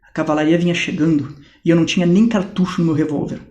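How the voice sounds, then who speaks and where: A man's voice: on the high side, fairly even intonation, fast talker, darkish tone, muffled recording, close to an everyday voice. An actor with a Brazilian accent playing a Swiss ex-mercenary in his late thirties who tells war stories in a dry, amused way. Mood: wry. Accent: Brazilian Portuguese. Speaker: acting student. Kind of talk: mock-documentary stage acting. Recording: echoey room.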